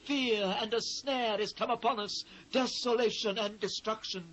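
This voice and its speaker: High-pitched, anguished British biblical prophet. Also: male voice, fairly even intonation, normal speed, fairly bright tone, poor audio. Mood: anguished, pleading. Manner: solemn, declamatory, impassioned. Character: anguished male biblical prophet lamenting his ruined people. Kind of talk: dramatised scripture reading. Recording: faint noise, old cassette transfer.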